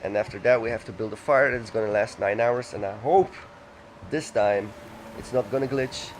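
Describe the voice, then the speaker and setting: A man's voice: fairly low pitch, moderate pace, a little hiss or hum. A man chatting loosely and rambling as he plays a video game, often correcting himself. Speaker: let's play gamer. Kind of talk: casual gaming commentary. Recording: music playing under the voice.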